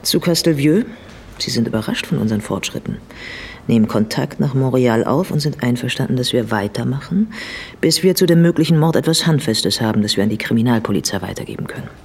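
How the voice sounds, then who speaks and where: Radio play detective, male actor: male team leader, mid-pitched, matter-of-fact and clipped, faint room ambience behind. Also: animated, brisk, slight background noise, lightly acted. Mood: businesslike. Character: businesslike male police investigator leading a team. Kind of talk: radio drama dialogue.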